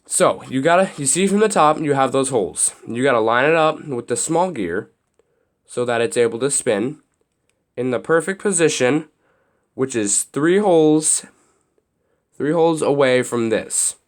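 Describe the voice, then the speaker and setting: Young male hobby host, a bit raspy, rambling how-to walkthrough. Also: mid-range voice, animated, measured pace. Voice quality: slightly raspy. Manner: loose, rambling explanations with many restarts and fillers. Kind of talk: casual how-to demonstration.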